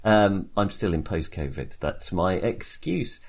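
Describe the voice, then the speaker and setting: A man co-hosting a film podcast in casual discussion, speaking in a halting, wry and self-deprecating way. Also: low-pitched, animated, vocal fry.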